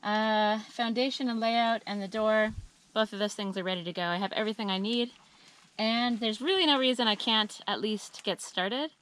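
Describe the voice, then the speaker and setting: A woman giving a chatty, upbeat monthly update on her homestead work, her voice a little scratchy and hoarse, with frequent ums and uhs. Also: mid-range voice, moderate pace, a bit bright. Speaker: homesteading vlogger. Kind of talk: casual monthly update vlog.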